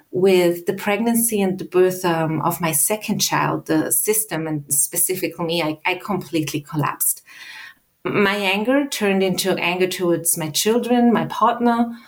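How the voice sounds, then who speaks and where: A woman from Austria in her thirties, a guest telling her own story in a measured, halting way with small restarts. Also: mid-range voice. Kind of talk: personal account in an interview.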